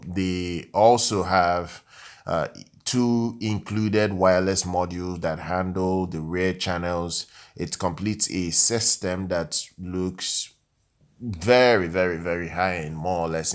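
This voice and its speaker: Low-voiced man reviewing tech gear. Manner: repetitive, hyperbolic, frequent filler phrases like "literally" and "you know". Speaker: tech review channel host. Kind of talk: casual unscripted product review commentary.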